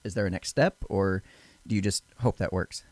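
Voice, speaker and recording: Stammering deep-voiced male podcast host. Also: moderate pace, dry recording. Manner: stammering, repeats words, restarts often. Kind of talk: casual interview chat.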